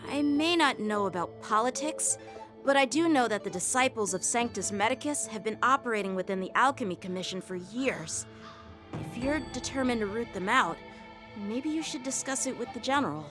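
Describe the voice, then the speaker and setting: A woman's voice: on the high side, lively intonation, normal speed. A woman voicing an earnest, dutiful young male soldier in a video game, polite and direct. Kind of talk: English video game voice acting. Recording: loud music under the voice.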